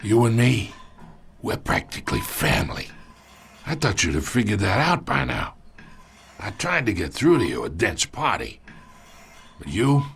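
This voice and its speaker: A smug, menacing old crime boss speaks in a gravelly, very deep drawl. His pitch rolls up and down as he taunts at a slow, deliberate pace in this video game scene. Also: big pitch swings, fairly bright tone, full character voice. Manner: slow, taunting, chuckles between lines.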